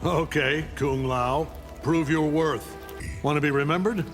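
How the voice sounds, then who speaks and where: A man's voice: fairly high pitch, fairly even intonation, unhurried, lightly acted. A man playing an arrogant, stern middle-aged alien warlord in a video game, haughty, taunting and menacing. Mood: cold menace. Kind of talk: video game fight-intro acting. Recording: loud music behind the voice.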